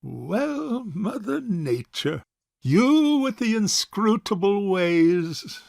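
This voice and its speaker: An actor playing a fussy, miserly rich old bachelor uncle in a comic farce, speaking in a pompous, hesitant, formal way. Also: male voice, high voice, big pitch swings, drawn-out words, gravelly, a little mellow, no echo, clean studio sound, full character voice. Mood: smugly relieved. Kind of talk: comic stage farce acting.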